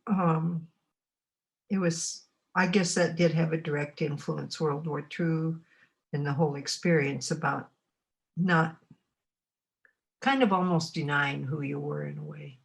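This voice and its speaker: A woman in her eighties from Montana, recalling her life in an oral history interview. She talks unhurriedly and reflectively, often pausing to find her words. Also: low-pitched, steady tone, creaky. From Montana, United States. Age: 80s.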